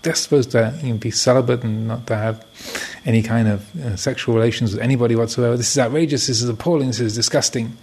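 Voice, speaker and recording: A man's voice: medium pitch, steady tone, fast talker, few pauses, darkish tone, husky. A man voicing a stern village mayor and father, indignant and spluttering with outrage. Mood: outraged.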